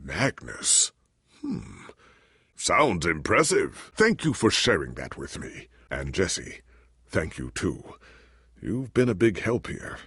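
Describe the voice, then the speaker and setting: An actor playing a weary, confused, humbled warrior hero in a video game, raspy, with formal and earnest dramatic phrasing. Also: male voice, low-pitched, huge pitch swings, slow, a bit bright, dry recording, studio quality, full character voice. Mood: polite, curious. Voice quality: raspy, gravelly.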